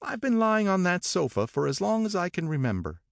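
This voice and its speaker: A man's voice: very animated, a little fast, gravelly, no room sound, clean studio sound, heavily acted. A man doing a cool, languid young woman. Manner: clipped, drawling, raised pitch.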